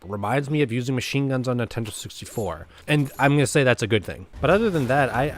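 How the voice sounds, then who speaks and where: Man casually reviewing a game over music. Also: mid-range voice. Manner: blunt, rambling, conversational. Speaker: host of a video game review show.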